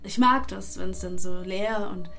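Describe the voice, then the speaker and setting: An actress playing a young homeless drifter in a naturalistic radio drama, candid and slangy, confiding and trusting. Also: female voice, medium pitch, measured pace, vocal fry, pushed and bright, faint music behind. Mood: dreamy, confiding.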